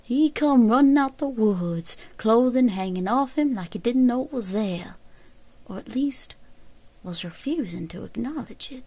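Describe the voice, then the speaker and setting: A woman playing a grim elderly frontier woman with a Southern American accent, giving a deliberate, folksy first-person account in an audio drama. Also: fairly high pitch, animated, mellow, slightly rough, full character voice. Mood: grave, haunted. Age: older.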